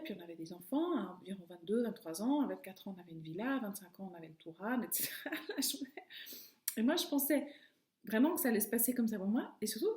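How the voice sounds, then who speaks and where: A middle-aged woman speaks in a calm, reflective way, letting her sentences fade softly at the ends. Her voice is mellow and sits slightly high. The room around her rings with noticeable echo. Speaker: video host. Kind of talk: personal reflective monologue. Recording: echoey room.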